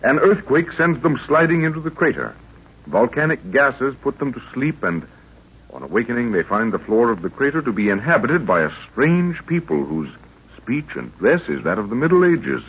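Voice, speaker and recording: A man's voice: mid-range voice, very animated, normal speed, a bit bright, breathy edge. A man narrating an old radio adventure serial in a formal, dramatic announcer's manner. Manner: dramatic, formal recap delivery. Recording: muffled old radio recording.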